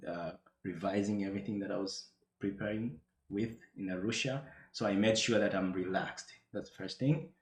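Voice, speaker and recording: Low-voiced man in an echoey room. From Tanzania. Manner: relaxed, chatty storytelling. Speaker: guest. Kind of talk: casual interview chat.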